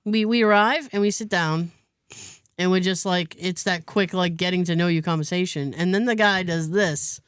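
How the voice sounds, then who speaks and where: A woman's voice: on the low side, normal speed, smooth, no echo. An American woman hosting a podcast, telling a story in casual chat and acting out what other people said. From United States. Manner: animated storyteller, acts out other people's lines. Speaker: podcast host.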